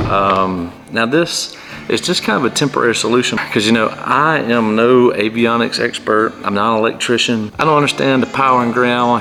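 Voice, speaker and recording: A man's voice: mid-range voice, gravelly, a bit bright. A man hosting a casual how-to vlog, talking in a plain-spoken, informal and self-effacing way. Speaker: amateur pilot and video host.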